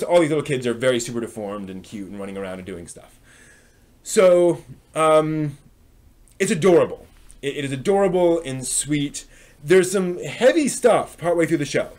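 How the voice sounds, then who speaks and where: Higher-pitched man giving casual, halting commentary. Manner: halting, with frequent pauses and rephrasing. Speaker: live stream host reviewing anime. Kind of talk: unscripted casual review commentary.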